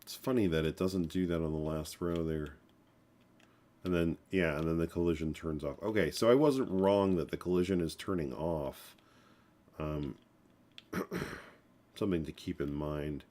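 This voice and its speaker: A man in his forties talks through his code on a live stream, his voice sitting very low and sounding stuffed up and congested. He rambles, circling back with fillers and restarts. Keys clatter now and then behind him. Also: normal speed, long pauses, a little mellow. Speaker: programming livestream host. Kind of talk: casual live coding talk.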